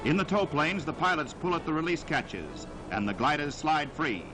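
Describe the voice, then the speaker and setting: A man narrating a 1940s newsreel in a dramatic, declamatory style with emphatic, measured phrasing, on a vintage soundtrack. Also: on the high side, lively intonation, over loud music, poor audio.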